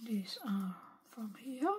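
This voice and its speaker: A Dutch woman speaking English, her voice weak and partly gone, softly thinking aloud as she works through a craft tutorial at her desk. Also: a little high, animated, slight background noise. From Netherlands. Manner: soft-spoken, unhurried, talks to herself while working. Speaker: craft video maker. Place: craft desk at home, filmed from overhead.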